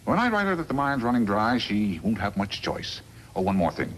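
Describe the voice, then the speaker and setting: A smug western villain, a crooked lawyer with a lowish, highly expressive voice. He is calculating and emphatic, in the manner of 1950s radio drama, with light noise from the old recording. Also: a little fast, low-quality recording. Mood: smug scheming.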